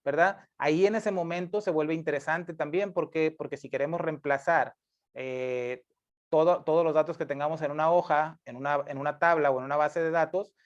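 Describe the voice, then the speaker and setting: A man's voice: fairly high pitch, fairly even intonation, moderate pace. A male instructor, explaining step by step, patiently repeating points and correcting himself with frequent tag questions. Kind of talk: screencast coding lesson. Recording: dull lo-fi mic.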